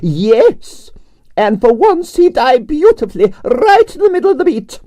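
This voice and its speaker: A man voicing a flamboyant aging Russian ballerina with a Russian accent and broken English, in a high, swooping, theatrical voice for an audiobook. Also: big pitch swings, a little slow, dark tone, no echo, studio quality, full character voice. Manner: broken English, grand declarations.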